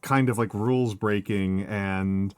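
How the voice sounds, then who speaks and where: Halting American man chatting on stream. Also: lowish voice, steady tone, darkish tone, clean tone, no echo, studio quality. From United States. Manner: halting, many fillers and restarts. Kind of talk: casual chat.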